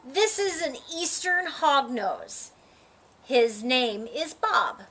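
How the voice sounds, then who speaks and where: Woman, children's storyteller, high-pitched, unhurried, expressive read-aloud, dramatic emphasis. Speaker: storyteller reading a children's book. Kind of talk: animated read-aloud narration in a child character's voice.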